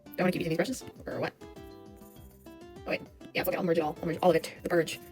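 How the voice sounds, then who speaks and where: A young woman with a scratchy, hoarse voice rambling casually as she draws, swearing and muttering to herself. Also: on the low side, flat delivery, rapid-fire, darkish tone, faint music behind. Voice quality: scratchy, vocal fry. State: voice giving out, hoarse. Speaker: art vlogger. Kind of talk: rambling casual commentary while drawing.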